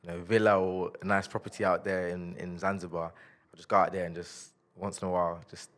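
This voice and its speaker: Young guy, very deep voice, easygoing interview guest. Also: fairly quick. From South East London, England. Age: 20s. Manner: relaxed, laid-back, lots of "yeah, yeah" fillers. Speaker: podcast guest. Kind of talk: casual interview chat.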